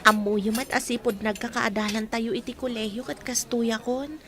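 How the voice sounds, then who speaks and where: A woman's voice: a little high, fairly even intonation, normal speed, a bit bright, lightly acted. An actress playing a shy, reserved young woman in a radio drama. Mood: reluctant.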